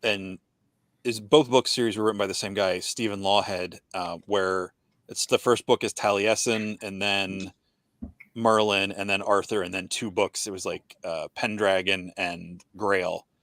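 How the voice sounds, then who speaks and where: A fairly low-pitched man asks questions on a podcast. He stops and restarts often and fills the gaps with "like" and "uh". His voice is recorded dry, with almost no room sound. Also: steady tone, measured pace, fairly bright tone, no room sound. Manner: hesitant, many fillers and restarts, asks questions. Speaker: podcast host. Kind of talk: casual podcast conversation.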